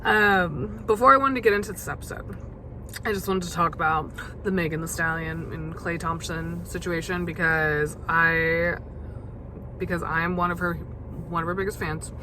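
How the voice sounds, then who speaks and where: A young woman hosting a casual solo podcast, chatty and full of fillers, her voice gravelly as she loses it. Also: fairly low pitch, fairly even intonation, normal speed, background noise. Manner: chatty, many fillers and restarts. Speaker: podcast host.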